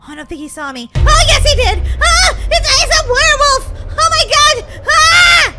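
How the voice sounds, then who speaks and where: A woman's voice: extremely high pitch, animated, moderate pace, over loud music. A woman voicing a frightened young orphan girl on the run in a children's gaming roleplay, talking to herself in dramatic exclamations. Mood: terrified. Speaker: gaming channel host.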